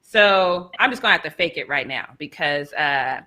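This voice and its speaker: Bright-voiced woman sports show co-host. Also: sits low, animated, moderate pace. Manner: playful, laughs often. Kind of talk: casual sports chat.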